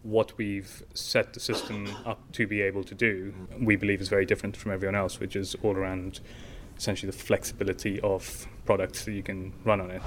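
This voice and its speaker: A man's voice: sits low, a bit bright, a little hiss or hum. A man talking informally as an interview guest, explaining things with everyday examples. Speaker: guest, tech company founder.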